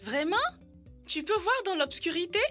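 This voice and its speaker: A woman's voice: very high voice, moderate pace, fairly bright tone, soft background music. A woman playing an eager, curious young child in an educational children's cartoon dub. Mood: puzzled.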